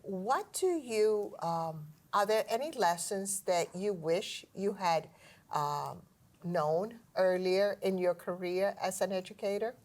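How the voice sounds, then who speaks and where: Woman interviewer, mid-pitched voice, encouraging and complimentary. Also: slow pace, a little mellow. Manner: encouraging, full of praise. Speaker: school administrator interviewing a teacher.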